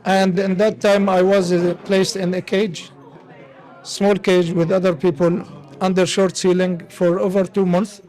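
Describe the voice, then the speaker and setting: Syrian accent guy, pretty high voice, telling his own story kinda haltingly. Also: little pitch movement, a little mellow, rough recording. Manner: halting, frequent "you know". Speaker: interviewee, asylum seeker. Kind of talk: personal testimony.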